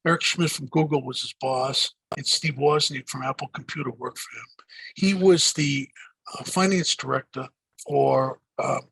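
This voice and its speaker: An older man with a deep Boston accent, answering interview questions in a rambling way, with many fillers and restarts. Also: vocal fry, fairly bright tone, slightly rough, dry recording, low-quality recording. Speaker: guest.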